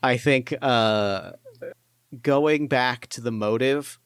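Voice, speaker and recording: A man with a smooth, resonant voice runs a tabletop game and chats through it casually. He talks loosely, with plenty of fillers and restarts. The sound is dry and close, with no room around it. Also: medium pitch, slow pace, a bit bright, no room sound. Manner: rambling, many fillers and restarts. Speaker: game master. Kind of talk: casual tabletop play and narration.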